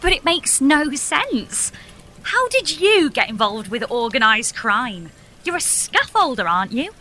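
An aggrieved grown daughter in her thirties in a comic audio drama. Her voice is high, bright and animated, blunt and insistent, as she demands answers. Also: measured pace, slight background noise, full character voice. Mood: incredulous. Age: 30s. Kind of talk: audio comedy drama acting.

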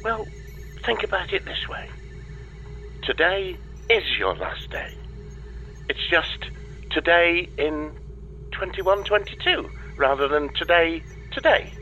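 A man's voice: fairly high pitch, sing-song, slow, long pauses, bright, forceful tone, lo-fi sound, faint music behind, exaggerated voice. A man playing an evasive, breezily unhelpful space-agency dispatcher in a comedy audio drama, talking over a radio link. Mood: breezily upbeat. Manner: breezy, evasive, hesitant when cornered.

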